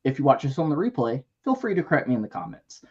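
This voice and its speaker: A man hosting a casual toy-collecting livestream, losing his voice a bit, reading out member shout-outs with jokes. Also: medium pitch, big pitch swings, fast, husky, highs cut off. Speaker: livestream host.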